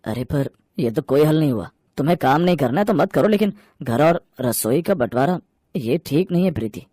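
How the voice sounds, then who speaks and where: A man voicing a mild, reasonable grown son in a story, with a calm, conciliatory manner. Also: on the high side, moderate pace, dry recording, clean studio sound. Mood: concerned, reasoning. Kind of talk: acted story dialogue.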